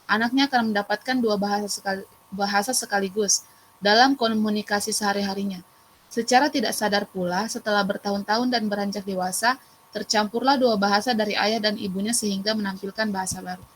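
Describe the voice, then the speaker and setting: Young woman, student presenter, mid pitch, steady even reading, faint background hum. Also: brisk, low-quality recording.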